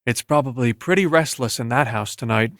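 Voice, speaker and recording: A man voicing an understated, quietly critical suburban father in an audiobook. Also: mid-range voice, a little fast, dry recording, clean studio sound, natural voice. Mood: dry, critical.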